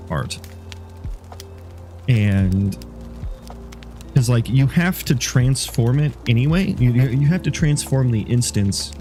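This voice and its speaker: A man's voice: lowish voice, a little mellow. A sniffly man, a game developer, talking casually through his code and rambling as he thinks aloud. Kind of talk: casual dev log.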